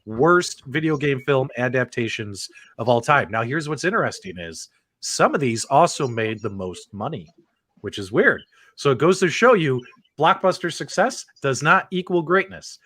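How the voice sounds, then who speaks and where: A man's voice: medium pitch, very animated, normal speed, a bit bright. A man hosting a casual panel show with emphatic, playful, teasing banter. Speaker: host of a video game movie show.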